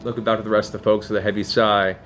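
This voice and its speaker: A man's voice: on the low side, flat delivery, very fast, a bit bright, soft background music. A man acting as game master, improvising descriptive, theatrical narration for a tabletop game. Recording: clearly noisy room ambience.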